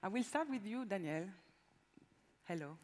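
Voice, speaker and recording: A woman's voice: medium pitch, lively intonation, normal speed, in a large hall. A woman moderating a conference panel, with hesitant speech and many fillers.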